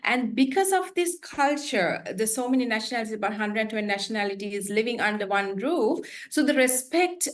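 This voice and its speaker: A woman's voice: on the high side, animated, brisk, hardly any pauses. A woman answering as a webinar panelist over a video call, earnest and detailed, often correcting herself mid-sentence.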